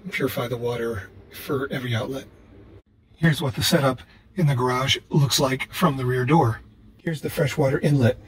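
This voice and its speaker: An older man calmly narrating a do-it-yourself build video in a measured, matter-of-fact way. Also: medium pitch, a little hiss or hum, low-quality recording. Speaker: vlogger. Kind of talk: calm how-to narration.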